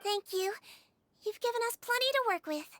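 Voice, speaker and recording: A woman voicing a small, gentle, earnest nonhuman nurse in a video game, childlike, polite and clinically composed. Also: extremely high pitch, expressive, a little fast.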